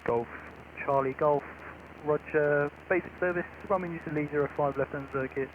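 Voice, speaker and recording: A man's voice: on the high side, fairly even intonation, normal speed. A man giving procedural flight information over an aviation radio. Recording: dull, noisy aviation radio link.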